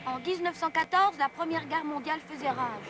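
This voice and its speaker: A woman's voice: sits high, brisk. A woman reading scripted narration for an educational cartoon. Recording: music behind the voice.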